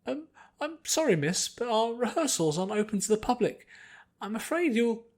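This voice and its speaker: A man's voice: extremely high pitch, sing-song, normal speed, darkish tone, pitched above the performer's own voice, heavily acted. A man voicing a kindly, joyous old priest who leads a choir, polite and encouraging. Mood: flustered, apologetic.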